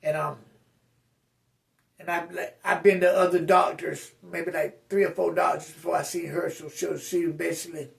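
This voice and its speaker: A man's voice: on the high side, little pitch movement, moderate pace, vocal fry, far from the mic. A man vlogging a casual personal update to his viewers, with slurred speech and a rambling, straight-talking way of speaking full of fillers and restarts.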